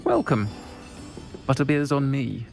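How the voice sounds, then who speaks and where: Protective pub landlady, creaky, composed, dry, game cutscene, music behind. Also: mid-range voice, a little slow. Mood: welcoming. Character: self-assured pub landlady, protective and unflappable. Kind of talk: cinematic video game acting.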